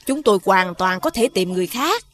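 A woman voicing a haughty, hostile village matron in an animated folktale, with an accusing, imperious way of talking. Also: medium pitch, a little fast, lightly acted. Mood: haughty, demanding.

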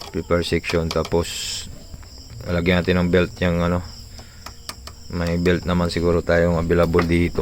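Man, deep voice, casual repair tutorial, informal explaining with tag questions, noisy recording with chirping insects. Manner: informal explaining, often seeking agreement with short tag questions. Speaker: electronics repair vlogger.

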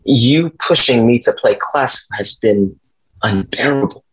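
A man acts a defiant young jazz pianist in a table read. His voice is husky and firm, coming through slightly dull video-call audio. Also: mid-range voice, measured pace, a bit bright. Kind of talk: script table read acting. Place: small room at home, on video call.

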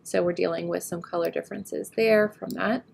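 A woman getting over a head cold, slightly nasal, talking through a photo editing tutorial as she works and often trailing off quietly. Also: lowish voice, fairly even intonation, moderate pace, vocal fry, a little hiss or hum. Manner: thinks aloud while working, often trailing off quietly. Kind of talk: screen-recorded editing tutorial.

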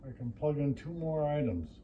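A man's voice: fairly high pitch, expressive, normal speed, narrow, tinny audio, a little hiss or hum. An older man chatting casually at home as he shows off his collectibles. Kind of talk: casual chat, showing off keepsakes. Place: living room and kitchen at home.

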